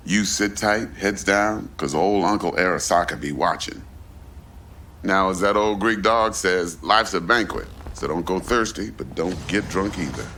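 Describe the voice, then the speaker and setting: A very deep-voiced crime fixer from a video game. He sounds gravelly and calmly bossy, handing down streetwise terms like pronouncements. He is a man used to having the last word. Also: a little hiss or hum, full character voice. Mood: smug, instructing. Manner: slow, pronouncing, streetwise slang and aphorisms. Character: big shady older fixer, calm, bossy crime middleman.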